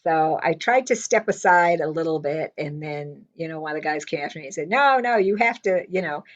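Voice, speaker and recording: An older woman in semi-retirement telling a casual story with a loud, blunt way of talking, acting out what people said. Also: deep voice, brisk, lo-fi sound. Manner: lively, blunt, quotes people in her stories. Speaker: interviewee.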